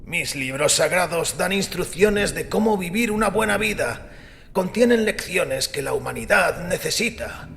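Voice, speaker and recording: A man playing a devout, defensive priest who argues earnestly in a gravelly voice, in a dramatized audiobook. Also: sits high, animated, normal speed, fairly bright tone, heavily acted. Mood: earnest defence. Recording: long reverberant hall with music behind. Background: music.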